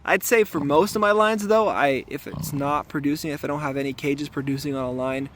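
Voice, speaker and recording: A man with a raspy voice today, talking casually and thoughtfully to a camera while checking traps outdoors, with filler ums and restarts. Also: fairly high pitch, brisk, a bit bright, a little hiss or hum. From southern Arizona. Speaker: trapper filming his trapline. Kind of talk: casual outdoor vlog narration. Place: outdoors in desert washes.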